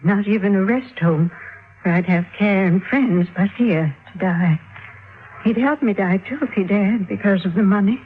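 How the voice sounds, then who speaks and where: An actress playing a frail, fearful little old widow, speaking gravely and haltingly in old-time radio drama style. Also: female voice, medium pitch, close to an everyday voice. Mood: bitter, weary. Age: older. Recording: tinny, noisy old radio recording.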